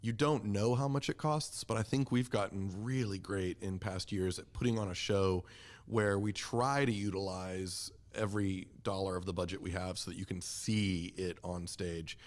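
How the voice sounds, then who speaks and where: Male podcast guest, low-pitched voice, enthusiastic, effusive, casual conversational promotion of local theater. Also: moderate pace. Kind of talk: casual interview chat.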